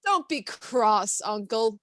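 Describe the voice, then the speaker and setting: Girl acting a cheery earnest young nephew in a radio play, high voice, really dry sound. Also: sing-song, slow pace, fairly bright tone, no room sound, heavily acted. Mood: earnest, cheerful. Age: teenager or young adult. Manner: earnest, theatrical, pleading.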